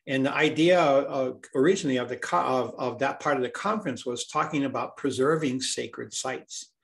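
A man's voice: mid-range voice, moderate pace, gravelly. A man from Hawaii, a healer speaking as an interview guest, talking earnestly and reflectively, often repeating words as he searches for them.

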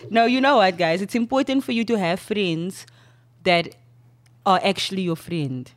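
A woman chatting on a casual podcast, confident and teasing. She talks in a lively, playful way, swinging between jokes and firm opinions. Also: mid-range voice, moderate pace, no room sound. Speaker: podcast co-host. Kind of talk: casual chat.

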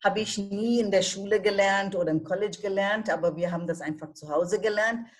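An older woman from India with an Indian accent, a native Bengali speaker, answering questions as a podcast guest in German with a warm, hesitant manner and many fillers. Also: mid-range voice, fairly even intonation, a little fast. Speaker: guest, teacher. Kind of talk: podcast interview.

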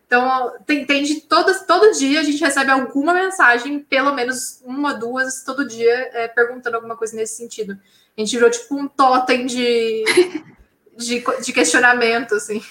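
A woman, a science communicator talking casually and animatedly in an interview, with quick slangy phrasing. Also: sits high, steady tone, fairly bright tone, echoey room.

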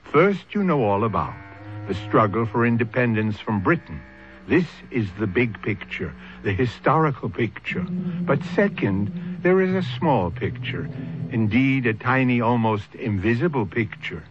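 A man hosting a radio mystery series, narrating a script with theatrical, deliberate phrasing. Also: mid-range voice, expressive, noisy background. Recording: dull old radio recording with music. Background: music.

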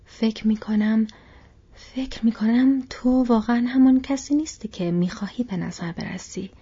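A woman voicing a cautious young hobbit who questions a stranger and hesitates as he speaks. The delivery is a soft, breathy whisper with a warm, dark tone. The recording is muffled and lo-fi. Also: a little high. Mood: wary. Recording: dull lo-fi recording.

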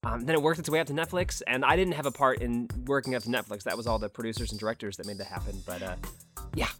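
A man's voice: medium pitch, fast talker, a bit bright, faint music behind. A man speaking enthusiastically and effusively as a guest in a casual interview. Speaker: audio drama creator, interview guest.

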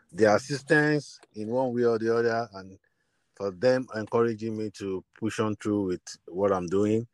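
A man's voice: sits low, a little mellow, dry recording. A man from Nigeria, a guest musician, answering hesitantly with many fillers. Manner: hesitant, filler-heavy speech. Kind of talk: interview answers and singing.

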